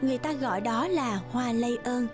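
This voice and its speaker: A woman's voice: a little high, fairly quick. A woman narrator reading scripted fairy tales in a storytelling style. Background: music.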